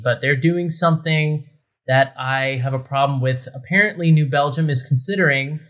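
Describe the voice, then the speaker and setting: A man's voice: on the high side, a bit bright. A man co-hosting a casual beer podcast, opinionated and emphatic as he rants. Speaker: podcast co-host.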